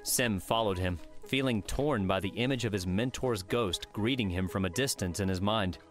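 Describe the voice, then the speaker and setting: A man narrates an audiobook story from a script. His voice sits fairly low, with faint music underneath. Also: normal speed.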